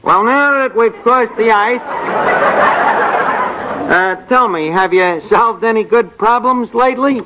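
A man's voice: high-pitched, a little slow, pauses often, a crowd in the background. An actor playing a wisecracking, uneducated New York tavern manager in a 1940s radio comedy, mangling his grammar. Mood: chummy. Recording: muffled, noisy 1940s radio with audience.

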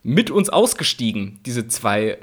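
A man's voice: medium pitch, big pitch swings, a little slow, slightly rough. A young man co-hosting a podcast, telling rambling anecdotes in casual, slangy language. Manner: slangy, colloquial storytelling. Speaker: podcast co-host.

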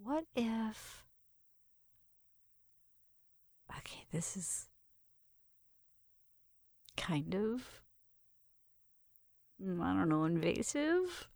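A woman playing a shy, flirtatious roommate in an intimate ASMR roleplay, speaking in a breathy, hesitant, tender whisper. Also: mid-range voice, sing-song, drawn-out words, pauses often, darkish tone, dry recording, clean studio sound, heavily acted. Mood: bashful, flirtatious. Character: shy, flirtatious female roommate giving an affectionate Halloween makeover.

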